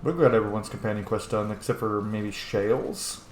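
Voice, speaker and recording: A man's voice: lowish voice, steady tone, normal speed. A man giving casual, informal gaming commentary as he role-plays, swearing freely. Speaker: gameplay host. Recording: roomy echo, faint background noise.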